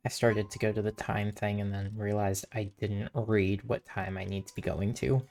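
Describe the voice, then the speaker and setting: A woman's voice: very deep voice, level tone, moderate pace, a little mellow. A woman giving casual commentary as she streams a game, with music behind her. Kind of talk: casual commentary while playing.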